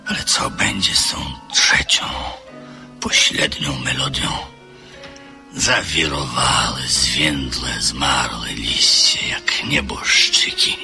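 An actor playing a brooding older man telling his own story in a radio drama, slow and often sinking to a hushed whisper. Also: rumbling low, heavily acted.

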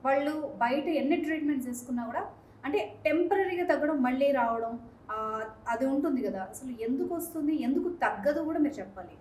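A fairly high-voiced woman in her thirties hosts an interview. She sounds some way from the mic in a roomy space, with faint noise behind her. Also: lively intonation, a little fast, darkish tone, distant mic. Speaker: interviewer, host. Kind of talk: studio interview questioning.

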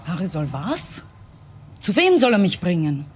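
A woman's voice: on the low side, big pitch swings, unhurried, a little hiss or hum. An actress playing an earnest, self-possessed but uneasy young woman in a German crime radio play. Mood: guarded.

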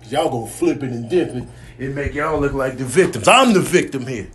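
Man voicing an indignant, cocky bull, lively pitch. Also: brisk, slightly rough. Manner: aggrieved, boastful, slangy street talk. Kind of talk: comedic animal voiceover.

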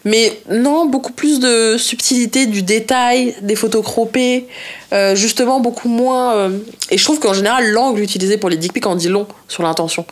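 A woman's voice: on the high side, moderate pace. A young woman chatting casually and frankly as a podcast guest, using slang and bits of English. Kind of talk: casual chat. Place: small indoor podcast studio. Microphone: podcast microphone on a desk stand.

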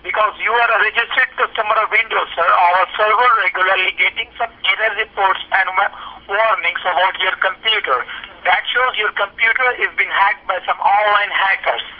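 A man's voice: sits high, a little fast. A man working as a call center tech support caller, giving insistent scripted instructions and saying sir, heard through a phone speaker. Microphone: phone speaker recorded by a nearby camera. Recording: dull speakerphone call picked up by camera.

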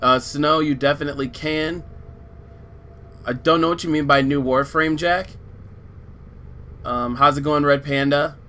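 A man streaming a video game who chats casually with viewers in a relaxed, rambling way, his voice getting weak. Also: fairly high pitch, unhurried, pauses often. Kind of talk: casual live-stream chat, reading viewer chat.

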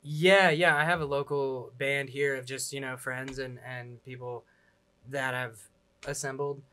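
A man's voice: mid-range voice, fairly even intonation, unhurried, sharp tone, clear, resonant tone. A man in his twenties from Pennsylvania talks as a musician guest on a studio lounge set. He is easygoing and encouraging and uses many casual fillers as he speaks into a desk mic. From Pennsylvania, USA. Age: 20s. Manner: easygoing, encouraging, says "like" a lot. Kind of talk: casual chat. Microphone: desk mic on stand.

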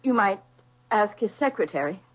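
An actress playing a polite, guarded middle-aged wealthy widow in a radio drama. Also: female voice, medium pitch, expressive, normal speed, close to an everyday voice. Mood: guarded, composed. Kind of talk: 1970s radio drama acting. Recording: muffled vintage radio broadcast.

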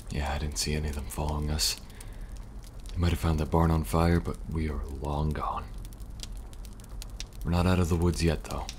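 A man playing a weary, protective young survivor agent in an ASMR audio roleplay, speaking softly and reassuringly, a little hesitant. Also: rumbling low. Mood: wary, low-key. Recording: faint background noise and ambience.

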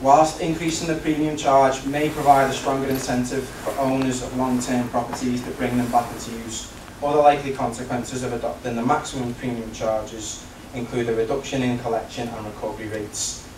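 A man's voice: medium pitch, level tone, moderate pace, hardly any pauses. Echoey, far-off man councillor making formal speech. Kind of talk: formal prepared debate speech. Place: large council chamber. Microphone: recorded from the back of the room. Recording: distant, echoey, noisy council chamber recording.

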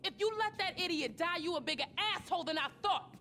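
Fed-up young American girlfriend type, game cutscene, blunt reproach, high voice, faint ambience. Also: expressive, fast, bright, forceful tone, slight background noise, exaggerated voice. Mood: resigned, reproachful. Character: fed-up, firm young woman scolding her ex. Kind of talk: video game cutscene acting.

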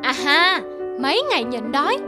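A performer voicing a menacing, hungry lion in an animated fable, gloating and scheming. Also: high voice, normal speed, loud music behind the voice.

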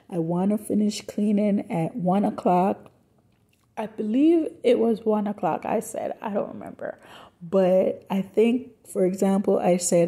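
Gravelly, dark-voiced woman narrating. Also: medium pitch. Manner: soft, chatty, thankful, addresses viewers as y'all and guys. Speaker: cleaning vlogger, mom. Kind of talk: voiceover narration over cleaning footage. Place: home, recorded as voiceover.

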